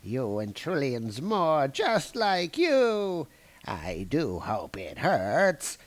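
A man's voice: mid-range voice, huge pitch swings, extremely slow, full character voice. A man voicing a menacing male villain who gloats over dying gods, as he reads a comic aloud. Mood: gloating. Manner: cold gloating.

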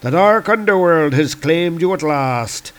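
A man's voice: a little high, lively intonation, measured pace, voice pushed up. A man voicing a proud, furious warrior villain from a comic book, declaiming in grandiose archaic speech. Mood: gloating. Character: Lear, proud furious Celtic warrior villain, bombastic and defiant. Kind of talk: reading comic book dialogue aloud.

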